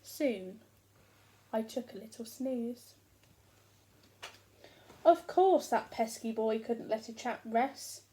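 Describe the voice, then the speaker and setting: Woman reading as a slow-talking, grumbling storybook giant. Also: fairly high pitch, lively intonation, dark tone. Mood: aggrieved, angry. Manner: complaining, sarcastic, emphatic. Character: grumbling, self-pitying, hungry giant husband, huge and aggrieved. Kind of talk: first-person storybook narration read aloud.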